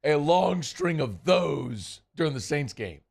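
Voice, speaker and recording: A man's voice: fairly high pitch, sing-song, takes it slowly, gravelly, darkish tone, slightly rough. A man hosting casual sports talk radio, chatty and rambling, his voice worn and strained. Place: radio studio.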